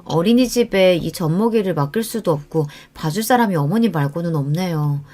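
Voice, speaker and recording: A woman's voice: fairly low pitch, animated, fairly quick, dark tone. A young daughter-in-law, acted by a female narrator in a radio story. She is deferential and careful, making requests with tactful persuasion. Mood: polite, pleading.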